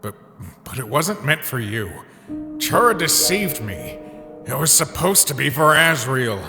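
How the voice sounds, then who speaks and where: A man plays a guilty, evasive young man in a comic drama. He is halting and apologetic, in a reverberant space with faint music behind. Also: on the high side, very animated, gravelly, exaggerated voice. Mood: guilty, hesitant. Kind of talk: dramatic comic dub acting.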